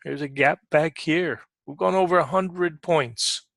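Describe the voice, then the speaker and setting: A man giving informal live market commentary as a trading coach, conversational and repetitive for emphasis, often clearing his throat. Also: a little high, a little slow, a bit bright, no room sound, clean studio sound.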